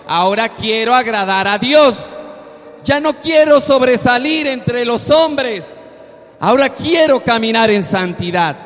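A man preaching a sermon as the regular speaker of a program, exhorting listeners in a slow, emphatic way that rises into loud bursts. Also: extremely high pitch. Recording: long reverberant hall.

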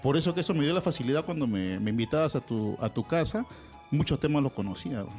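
A pianist remembers old gigs, his words tumbling out quickly. His pitch is ordinary for a man, and faint music plays underneath. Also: animated, darkish tone. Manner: quick, earnest reminiscing with repeated words. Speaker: pianist guest. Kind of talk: casual conversation.

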